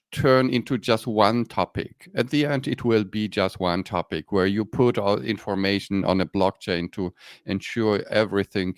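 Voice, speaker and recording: A man's voice: fairly low pitch, clean tone, no echo, clean studio sound. A man with a Polish German accent co-hosting a podcast, talking casually with many ums and restarts. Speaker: podcast co-host. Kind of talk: casual discussion.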